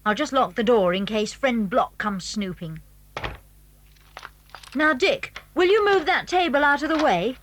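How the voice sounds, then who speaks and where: A woman's voice: on the high side, expressive, a little slow, long pauses, fairly bright tone. A woman playing an eager, confident English schoolboy in a children's audio drama. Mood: confident, brisk.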